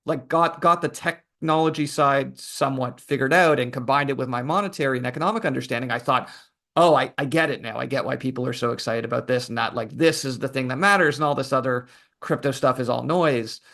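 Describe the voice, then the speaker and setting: A man, an author guesting on a podcast, who tells his story in a relaxed, thoughtful way with lots of fillers. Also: mid-range voice, brisk. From Canada. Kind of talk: casual interview answers.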